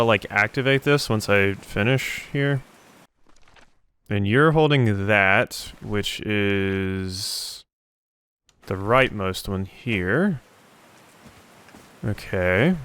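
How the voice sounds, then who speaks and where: A man's voice: on the low side, expressive, slow, long pauses, fairly bright tone, smooth. A man doing casual let's play commentary, thinking aloud as he plays.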